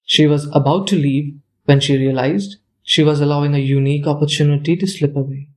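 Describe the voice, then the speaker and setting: A man's voice: fairly high pitch, steady tone, fairly quick, a little mellow. A man narrating a scripted audio play as a dramatic storyteller.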